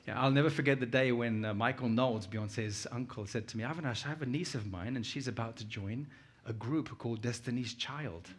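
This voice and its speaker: A man's voice: medium pitch, brisk, few pauses. British man giving an informal talk. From United Kingdom, born in Uganda. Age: middle-aged. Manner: conversational, anecdotal, puts questions to listeners. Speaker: guest conference speaker. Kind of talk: informal conference presentation to a live audience.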